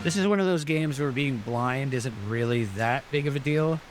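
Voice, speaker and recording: A man's voice: medium pitch, moderate pace. A man giving casual, rambling, explanatory commentary as he plays through a video game. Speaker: gameplay commentator. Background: game music.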